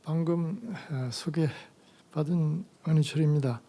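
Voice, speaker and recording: Hoarse older man with a mellow voice. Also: on the high side. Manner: halting, slow delivery with many fillers. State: hoarse from a cold. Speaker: retired medical professor giving a conference lecture. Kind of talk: academic lecture.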